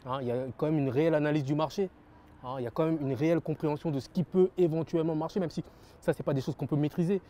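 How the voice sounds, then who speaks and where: A man's voice: a little high, steady tone, very fast, dark tone. A young French man giving earnest interview answers about his rap label, repeating phrases and using many fillers. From France.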